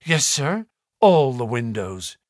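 A man's voice: medium pitch, sing-song, slow, no echo, studio quality. A man voicing a frightened, agitated butler who pleads and stammers in a storybook reading. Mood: pleading. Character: frightened, agitated middle-aged male butler.

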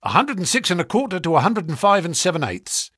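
A man's voice: high-pitched, very animated, quick pace, fairly bright tone, slightly rough, no room sound, studio quality, pitched above the performer's own voice, exaggerated voice. A man voicing a polite, earnest young stockbroker's clerk in an audiobook story. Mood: polite, modest. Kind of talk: audiobook character dialogue.

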